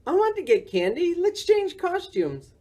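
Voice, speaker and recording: Reader putting on an excitable high-pitched storybook character. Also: unhurried, heavily acted. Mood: excited, exasperated. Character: excitable, exasperated Boris, shrieking storybook character. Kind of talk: children's storybook read-aloud.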